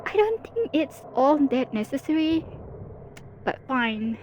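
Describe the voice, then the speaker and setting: A woman's voice: sits high, very animated, slow, darkish tone, slight background noise, heavily acted. A woman playing a theatrical, playful supervillain in an ASMR roleplay, with a sarcastic, drawling, mock-dramatic delivery. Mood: wry, exasperated. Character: teasing, theatrical female supervillain, playful and world-weary.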